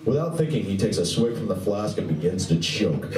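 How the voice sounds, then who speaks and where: Male radio play narrator, soft dark timbre, slightly low, echoey distant pickup. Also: sing-song, a little fast, faint music behind. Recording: roomy, distant recording with faint noise.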